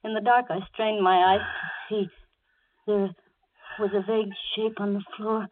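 A woman playing a terrified young heroine recounting horror in halting, melodramatic 1940s radio thriller style. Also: medium pitch, level tone, close to an everyday voice. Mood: fearful suspense. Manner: hushed, halting, breathless melodramatic delivery. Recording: lo-fi old radio with organ music.

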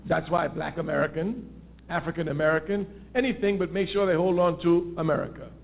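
A man's voice: fairly high pitch, fairly even intonation, brisk, creaky. A man giving an impassioned political talk on a call-in radio show, emphatic and repeating his key phrases for force. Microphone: phone line. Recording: tinny phone line with faint hiss.

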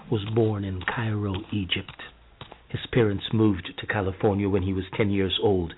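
A man's voice: on the low side, slightly rough. A man hosting a casual interview in a couple's home, chatty and laughing along with his guests. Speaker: podcast host. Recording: tinny phone-like lo-fi sound.

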